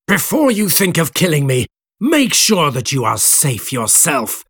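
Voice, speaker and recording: A man voicing a bitter, vengeful monster in an audiobook, slow, threatening and commanding. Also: medium pitch, big pitch swings, vocal fry, breathy edge, no echo, clean studio sound, exaggerated voice.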